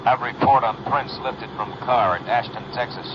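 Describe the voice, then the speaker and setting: An actor playing a businesslike police radio dispatcher in a 1950s radio drama, reading out reports in a clipped, formal deadpan. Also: male voice, mid-range voice, moderate pace, natural voice. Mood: impersonal, official. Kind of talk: 1950s radio drama acting, official bulletin delivery. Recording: muffled, noisy vintage radio broadcast.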